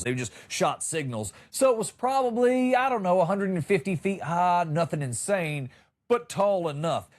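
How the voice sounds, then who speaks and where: A middle-aged man delivers a comic storytelling monologue in a fairly high voice. His pitch swings widely as he builds wry exaggerations and lands emphatic punchlines, like a seasoned comedy podcast host.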